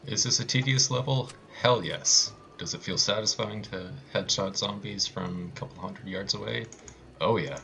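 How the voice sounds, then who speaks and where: A man commentates his video game run live in a casual, sarcastic way. His voice sits fairly low but has a bright, forward edge, over faint noise and keyboard clicks. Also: normal speed, creaky. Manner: sarcastic, wry. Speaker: speedrunner commentating a livestream.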